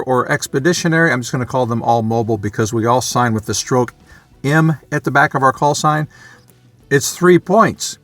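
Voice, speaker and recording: A man with a medium-pitched voice talks through a hobby explainer in a casual, unscripted way. He sounds conversational, working out his explanation as he speaks. The recording is close and dry, with faint music in it. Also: fairly bright tone, no echo. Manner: conversational, thinking aloud, loosely explaining. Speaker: amateur radio hobbyist and video presenter.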